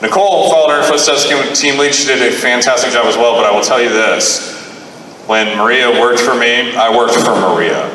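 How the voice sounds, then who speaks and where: A man's voice: mid-range voice, fairly quick, pushed and bright. A man hosting and directing a live improv comedy skit, joking loosely with lots of swearing. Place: bar stage with live audience. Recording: echoey hall, distant mic, noise.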